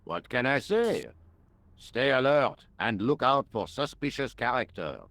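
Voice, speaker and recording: A self-important French police inspector for a video game. His pitch is mid-range and very animated, swinging widely as he talks. He is deliberate, pompous and condescending, with a French accent. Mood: grave, self-assured.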